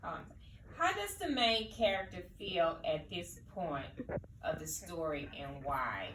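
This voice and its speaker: Female teacher, lively swooping intonation, prompting children with questions; roomy sound, mic at a distance. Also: on the low side, slow pace. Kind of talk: read-aloud lesson, posing questions to children. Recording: echoey room, distant mic, faint noise.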